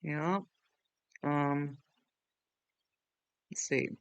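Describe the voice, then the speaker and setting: A man with a fairly high, clear voice walks through software as a tutorial host. He talks casually and rambles, often poking fun at himself. The sound is dry, with no room on it. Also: steady tone, drawn-out words, no echo. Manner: rambling, chatty, self-deprecating.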